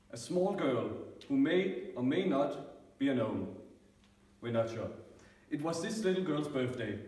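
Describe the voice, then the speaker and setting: A man's voice: mid-range voice, a little mellow. A man improvising a tongue-in-cheek story as a storyteller. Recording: very echoey room, distant microphone.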